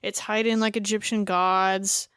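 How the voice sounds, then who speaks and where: A man co-hosting a casual podcast, thinking aloud with hesitant pauses and fillers. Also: high voice, flat delivery, sharp tone, clear, resonant tone, dry recording, studio quality. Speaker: podcast co-host. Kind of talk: casual chat.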